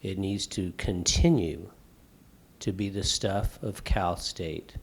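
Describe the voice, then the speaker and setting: Middle-aged male faculty member; booming, heartfelt floor remarks, low-pitched and slow. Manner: loud, emphatic and heartfelt.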